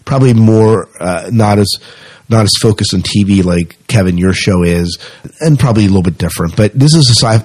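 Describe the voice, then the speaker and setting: A man's voice: low-pitched, darkish tone, breathy edge. A man hosting a podcast in casual conversation, often restarting his sentences as he goes. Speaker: podcast host. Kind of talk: casual podcast interview chat.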